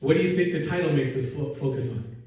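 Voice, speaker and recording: A man's voice: a little high, quick pace, a little mellow, recorded from a distance. A man preaching a casual, conversational sermon who jokes at his own expense and puts questions to the congregation. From Netherlands. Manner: casual, self-deprecating, asks the congregation questions. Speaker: pastor. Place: church sanctuary. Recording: echoey church hall from afar.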